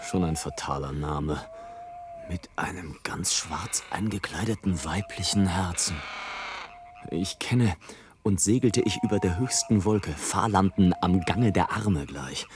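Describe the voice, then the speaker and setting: Low-voiced, sardonic balloonist. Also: male voice, unhurried, background noise. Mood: mocking, hushed. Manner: mocking, theatrical, swinging from hushed asides to loud declamation. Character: sardonic, indignant male balloonist watching people from above. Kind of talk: German radio play, literary monologue. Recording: music under the voice.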